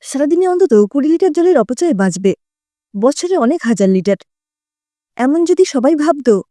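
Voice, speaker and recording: High, mellow woman storyteller. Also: very animated, moderate pace, no room sound, studio quality. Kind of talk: expressive scripted story narration.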